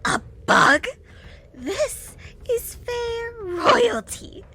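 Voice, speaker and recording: A woman's voice: extremely high pitch, very animated, drawn-out words, pushed and bright, a little hiss or hum, full character voice. A woman voicing an imperious, indignant fairy creature with a screechy delivery. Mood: outraged.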